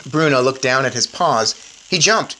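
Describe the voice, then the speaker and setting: Low-voiced woman, very animated storyteller. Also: moderate pace, slight background noise. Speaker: read-aloud storyteller. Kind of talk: expressive children's book reading with informal asides. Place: indoors in an attic.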